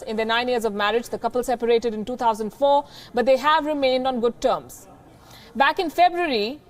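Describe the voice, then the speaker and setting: A woman news anchor with a high voice reads the report in a measured, crisp delivery. Soft music runs faintly beneath her. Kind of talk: scripted news report and interview.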